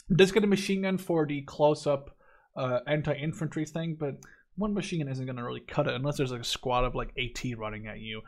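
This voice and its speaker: A young man from the Netherlands with a voice a little higher than most men's. He talks through a game as a YouTuber would, casually and informally. His delivery is opinionated and blunt. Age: 20s. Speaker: gaming YouTuber reviewing a game. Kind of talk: casual gameplay commentary.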